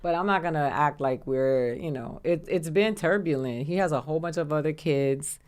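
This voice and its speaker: A middle-aged American woman with a somewhat low voice tells stories in casual interview talk. She jumps into other people's voices as she goes, animated and conversational. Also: normal speed. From United States. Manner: animated storytelling, acts out quoted voices. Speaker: guest comedian.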